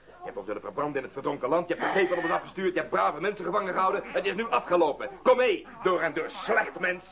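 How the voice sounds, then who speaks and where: An actor playing an excitable, resolute adventurer in a radio drama, emphatic and theatrical. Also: male voice, high voice, fast, creaky, a crowd in the background. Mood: stern, accusing. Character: excitable, resolute adventurer, companion of the witch-hunter. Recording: muffled radio play recording with background voices.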